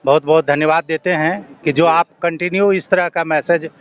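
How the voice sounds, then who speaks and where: A man's voice: on the high side, steady tone, normal speed, smooth, dull audio. A man with a Bihari accent speaking spontaneously as an interviewee, making his case as an activist with rhetorical questions. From Bihar, India. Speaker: interviewee, social activist and former government officer.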